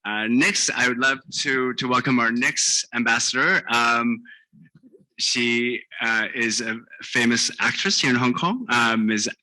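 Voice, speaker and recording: A man's voice: medium pitch, unhurried, bright, forceful tone, poor audio. A man hosting a press briefing, putting questions to guests in a polite, informal, slightly hesitant way. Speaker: event host and organizer.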